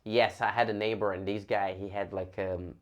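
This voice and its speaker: A young Brazilian man with a Brazilian Portuguese accent, a fighter telling his story in a casual interview, speaking haltingly with many restarts. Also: lowish voice, flat delivery.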